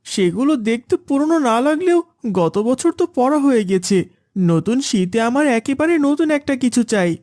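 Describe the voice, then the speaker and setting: A woman voicing an earnest, eager young boy in an animated moral story. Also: high-pitched, moderate pace, no echo, clean studio sound. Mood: eager, demanding. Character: young boys: spoiled eager son and gentle poor elder brother.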